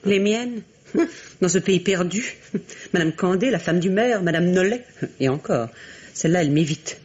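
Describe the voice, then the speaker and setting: An actress playing a resentful, sardonic daughter-in-law in a radio play, formal diction and a husky voice, on a muffled recording. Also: female voice, lowish voice, animated, moderate pace, a little mellow. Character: bitter, resentful daughter-in-law, trapped provincial wife. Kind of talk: old French radio play acting.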